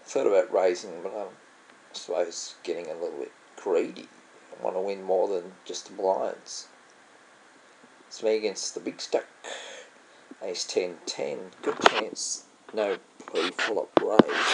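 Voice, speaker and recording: A man's voice: lowish voice, creaky, slight background noise, low-quality recording. A man with an Australian accent, commenting casually on his own online poker game, musing aloud and often trailing off to correct himself. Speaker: vlogger playing online poker. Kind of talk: casual commentary over gameplay.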